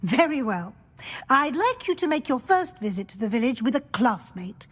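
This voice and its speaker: Rich: A brisk, stern older professor of magic. She hands out orders with dry wit. Her voice sits a touch high for a woman. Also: big pitch swings. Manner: crisp, wry, authoritative. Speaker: deputy headmistress.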